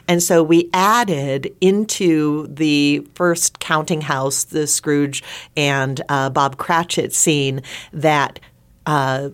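Female interview guest, theater writer, low pitch, unhurried delivery, expressive conversational storyteller. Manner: expressive, acts out lines while storytelling.

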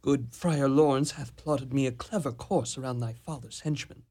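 A man's voice: medium pitch, steady tone, normal speed, mellow, husky. A man playing a lovesick young suitor in an amateur radio drama, declaiming ornate archaic verse earnestly. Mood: earnest devotion.

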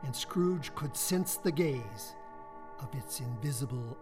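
A man narrating a radio play in a solemn, measured way. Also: medium pitch, expressive, over loud music. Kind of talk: scripted narration.

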